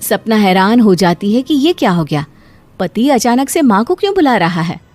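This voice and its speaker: A woman's voice: medium pitch, very animated, moderate pace, a little mellow, no echo, faint music behind. A woman reading scripted narration for a moral story.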